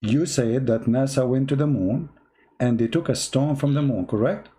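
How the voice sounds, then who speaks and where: A man's voice: medium pitch, moderate pace, warm tone. A man whose first language is Arabic hosts a heated debate, mocking and pressing a caller with repeated questions. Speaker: debate show host. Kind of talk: heated live debate call.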